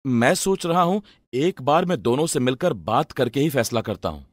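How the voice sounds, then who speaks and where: Dutiful young son, thoughtful and respectful, mid-range male pitch with lively movement, male actor. Animated moral story. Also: normal speed, no echo, studio quality, close to an everyday voice. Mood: puzzled.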